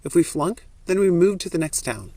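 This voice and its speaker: A woman's voice: on the low side, animated, normal speed, dark tone, no room sound. A woman voicing a cautious, practical young man in an audiobook story. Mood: cautious, businesslike.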